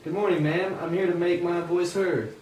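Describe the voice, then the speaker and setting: A man acts out an earnest freed man in a puppet show, defiant and emphatic. The high voice comes from far off the mic, with clear noise around it. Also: steady tone, normal speed, darkish tone, close to an everyday voice. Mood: eager, earnest. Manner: emphatic, indignant. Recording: roomy, distant, noisy amateur recording.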